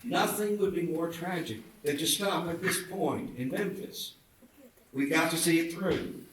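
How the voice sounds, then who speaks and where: Higher-voiced man orating in a very echoey hall. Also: measured pace. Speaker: reader at a commemorative event. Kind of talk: public reading of a historic speech. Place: indoor theatre event. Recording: very echoey hall, distant mic, faint noise.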